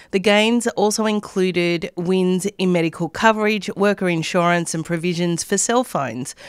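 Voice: medium pitch, clean tone, no room sound, studio quality. A radio news presenter, reading scripted news stories.

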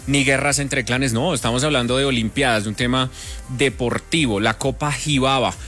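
A man's voice: medium pitch, fairly even intonation, normal speed, a bit bright, soft background music. A man with a Colombian accent, speaking conversationally as the host of an interview show.

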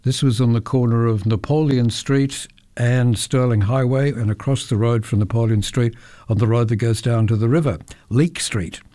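Man, Australian accent, radio presenter, mellow voice, dry close studio sound, chatty and anecdotal. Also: mid-range voice, moderate pace, no echo. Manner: chatty, anecdotal, occasional self-corrections. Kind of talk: relaxed radio chat between songs. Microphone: close studio microphone.

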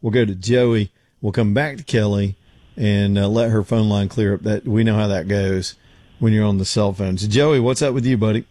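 A man hosting a talk radio show, hoarse and rambling, with lots of fillers and restarts. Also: fairly low pitch, fairly even intonation, moderate pace, warm tone, no room sound. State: getting hoarse. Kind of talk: casual talk radio with live read ads.

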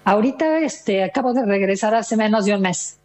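Older female interview guest, Mexican accent, medium pitch, casual and chatty storyteller. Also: normal speed, darkish tone. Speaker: interview guest, retired listener.

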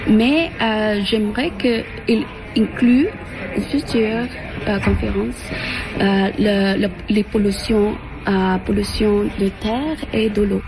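A woman from the Philippines, answering an interview at a climate event in halting speech full of fillers and restarts. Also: mid-range voice, steady tone, music in the background, low-quality recording. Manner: halting, many fillers and restarts, mixes in English terms. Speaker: conference participant.

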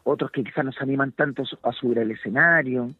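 A Chilean man in his fifties, a comedian and guest, chatting in a relaxed, halting way and often repeating words while he searches for them. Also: medium pitch, no echo. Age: 50s. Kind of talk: relaxed interview chat. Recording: dry tinny phone line.

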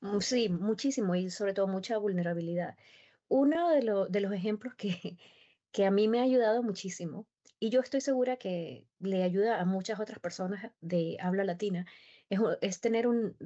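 A woman whose first language is Spanish tells stories with lively emphasis in a relaxed conversation. Her voice is mellow and warm rather than bright. The recording is slightly dull and lo-fi. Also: medium pitch, moderate pace. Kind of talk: conversational interview.

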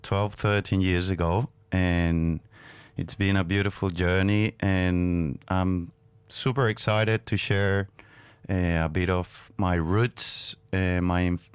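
Venezuelan-accented man, very smooth clear voice, earnest halting speech, radio co-host. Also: deep voice, no room sound. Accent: Venezuelan Spanish. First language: Spanish. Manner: earnest, halting with restarts and fillers. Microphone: studio microphone.

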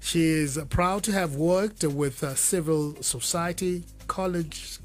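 A man's voice: on the high side, slow pace, soft background music. A man hosting a radio talk show, upbeat, with some fillers and restarts. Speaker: radio host.

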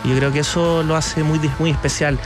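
A man's voice: on the high side, fairly even intonation, brisk, over music. A man with a Chilean accent, chatting casually as an interview guest. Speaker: interview guest, bar owner and sommelier. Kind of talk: casual conversation.

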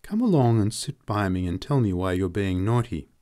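A man reading a novel aloud, voicing a married young woman who speaks in a quiet, guarded way. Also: on the low side, expressive, normal speed, no room sound, studio quality, close to an everyday voice. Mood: coaxing. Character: married young woman, cool then frightened. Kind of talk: audiobook character reading.

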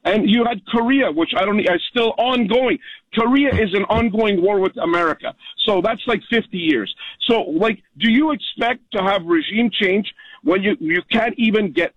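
A man's voice: high voice, normal speed, a bit bright, no echo, muffled recording. A man calling in to a talk radio show, rambling heatedly with many restarts and corrections. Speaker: caller.

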